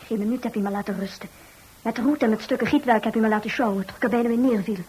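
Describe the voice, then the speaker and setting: Very husky, tough young lass. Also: female voice, fairly high pitch, very fast, darkish tone, rough recording. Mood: aching, complaining. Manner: blunt, colloquial. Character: tough, plain-spoken working-class young woman, stubborn. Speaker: radio actor. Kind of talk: radio drama dialogue.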